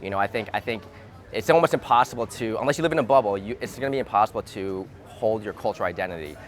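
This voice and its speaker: A man from the United States talking through his views in a relaxed conversation, hesitant and repeating words as he thinks aloud, recorded outdoors. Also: on the low side, fast. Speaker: interviewee. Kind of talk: thoughtful casual conversation. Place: outdoors in a city.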